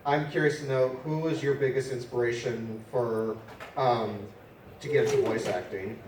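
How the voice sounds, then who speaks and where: A man's voice: medium pitch, fairly even intonation, background noise. Polite man asking from a hall mic. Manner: polite, halting with fillers. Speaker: fan asking a question. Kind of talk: audience question. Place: large convention hall. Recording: echoey hall, distant mic.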